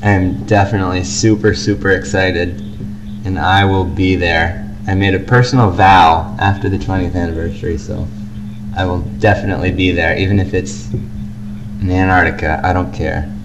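A man's voice: deep voice, steady tone, moderate pace, background noise. A young man chatting casually as co-host of a fan podcast, on an amateur home recording.

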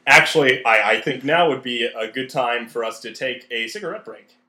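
A man's voice: medium pitch, normal speed, pushed and bright. A man hosting a podcast, giving comic narration and commentary. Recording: roomy, echoing room sound.

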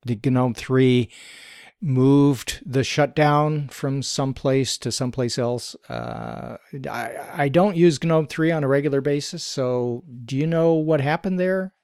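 A man co-hosting a casual tech podcast, reading out a listener's email and explaining answers in a measured way. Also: mid-range voice, creaky, darkish tone, clean tone, dry recording, studio quality. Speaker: podcast co-host.

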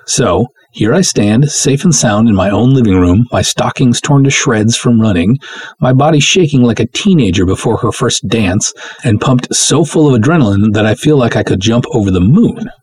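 A man's voice: mid-range voice, moderate pace, husky, dry recording. A man voicing a plucky, jittery young woman who recounts a spooky night in slangy, old-fashioned storytelling style. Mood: rattled, breathless suspense. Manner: animated, slangy, breezy storytelling. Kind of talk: dramatic first-person story reading with 1950s slang.

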